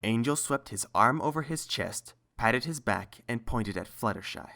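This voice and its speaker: A man's voice: mid-range voice, animated, normal speed, gravelly, sharp tone. A man reading a scripted story as its narrator.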